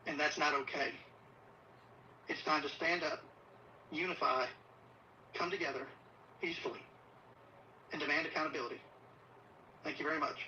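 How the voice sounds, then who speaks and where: A middle-aged man, a police official, delivering an earnest prepared video statement in a solemn, deliberate, urgent way. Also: fairly high pitch, fairly even intonation, bright, forceful tone. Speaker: assistant police chief. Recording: distant, muffled playback with faint noise. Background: faint background noise.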